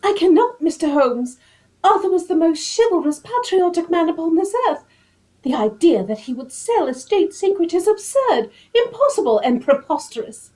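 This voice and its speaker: Woman doing a grieving young lady, radio play, high warm voice, earnest and proper. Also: very animated, normal speed. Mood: indignant, defensive. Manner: earnest, formal, emphatic. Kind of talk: radio drama acting.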